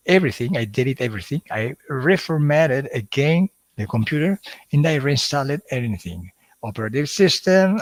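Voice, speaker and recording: A man with an Italian accent hosting a live stream, talking in a halting way with many fillers and restarts. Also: medium pitch, no echo. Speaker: hypnosis channel host. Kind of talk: casual livestream talk.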